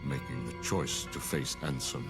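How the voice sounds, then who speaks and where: An actor playing a solemn, mysterious older man in a video game, speaking in a grave, measured, portentous way with a gravelly voice. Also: very animated, heavily acted. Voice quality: very deep, gravelly. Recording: game music clearly behind.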